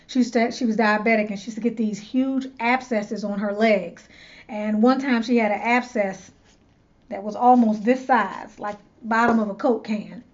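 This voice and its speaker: Woman, earnest casual storyteller, slightly high voice, dull lo-fi audio. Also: darkish tone. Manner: chatty, earnest, repeats words while searching. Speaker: loc stylist and channel host.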